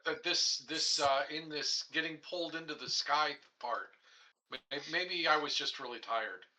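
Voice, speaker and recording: A man talking casually as a player in a tabletop game, halting and restarting his words. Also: a little high, sharp tone, poor audio.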